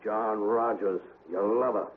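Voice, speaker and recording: An actor playing a jealous, brutal sea captain with archaic, bullying seafaring speech in a 1970s radio drama. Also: male voice, lowish voice, fairly even intonation, unhurried. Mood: cold, accusing. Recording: muffled old radio recording, loud background noise.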